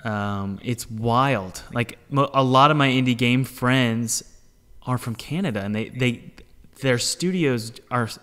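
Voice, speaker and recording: A man hosting a podcast interview, reacting with quick, excited interjections. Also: mid-range voice, smooth, long reverb tail. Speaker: podcast host. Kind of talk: casual interview chat.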